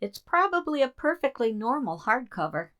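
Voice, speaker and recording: A woman's voice: sits high, very animated, normal speed, a bit bright. A woman playing a curious, practical colleague in a radio drama, inquisitive and conversational. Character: curious, practical female colleague wondering aloud. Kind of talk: radio theater acting.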